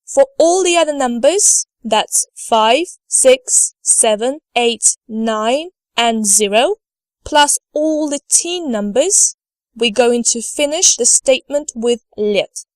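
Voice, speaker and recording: A woman gives a slide lecture in a measured, unhurried way, modelling each word carefully so learners can repeat after her. Her voice sits fairly high. The recording is dry and close, with no room sound. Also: expressive, no echo. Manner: slow, careful delivery, models words for repetition. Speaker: language teacher.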